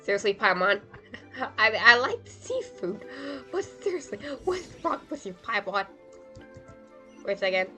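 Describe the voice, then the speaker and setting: A woman giving casual live commentary as a game streamer, wry and quick to exclaim. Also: medium pitch, big pitch swings, sharp tone, faint music behind.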